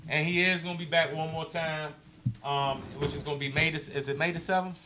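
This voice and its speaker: A man's voice: on the high side, fairly even intonation, brisk, background noise. A man hosting a casual live show, with a big voice, peppering his talk with "man". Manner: loud, says "man" a lot, big voice. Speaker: show host.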